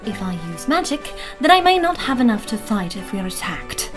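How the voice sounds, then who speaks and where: A woman's voice: on the high side, big pitch swings, fairly quick, music in the background. A woman playing a practical, wary elf mage in a fantasy audio drama, with a dry, mildly impatient manner. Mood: defensive.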